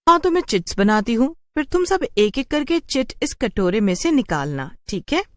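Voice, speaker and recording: A woman's voice: on the high side, moderate pace, dry recording, pitched above the performer's own voice. Woman acting a plucky, coaxing young-sounding heroine. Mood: brisk, cheerful. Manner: coaxing, animated. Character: plucky, chatty human woman outwitting witches.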